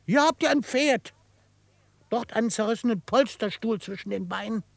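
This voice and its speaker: A man playing a quirky old frontier trapper in a radio drama, excitable and grumbling, gruff but fond, with a crackly voice. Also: sits high, moderate pace, dry recording. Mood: incredulous, grumbling. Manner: excitable, grumbling, chuckling, theatrically indignant. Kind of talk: German radio drama acting.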